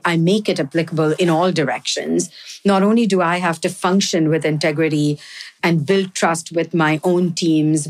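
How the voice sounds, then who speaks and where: A middle-aged woman with an Indian accent, answering interview questions in a candid, earnest and reflective way. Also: on the low side. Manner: candid, earnest, says "frankly" and "right?" often. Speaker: guest, health system executive.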